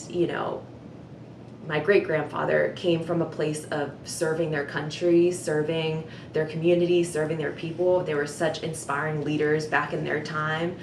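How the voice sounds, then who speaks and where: Low-voiced young woman, earnest and roomy. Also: gravelly. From United States. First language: Vietnamese. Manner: earnest and reflective, many "like" and "um" fillers. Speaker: communications director. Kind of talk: personal storytelling to camera. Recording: roomy echo with low rumble.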